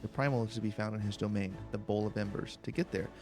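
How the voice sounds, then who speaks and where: A man reading video game dialogue aloud in a calm, slow, deliberate way for a let's play. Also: lowish voice, creaky. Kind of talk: calm, slow-paced read-aloud narration. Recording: faint background music. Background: faint game music.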